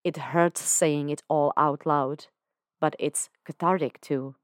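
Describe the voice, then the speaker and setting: A woman's voice: deep voice, fairly even intonation, slow pace, no room sound, clean studio sound. A woman voicing an emotional young man who talks in an earnest, candid way with casual slang. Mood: confessional. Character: young man, emotional and confessional, self-doubting.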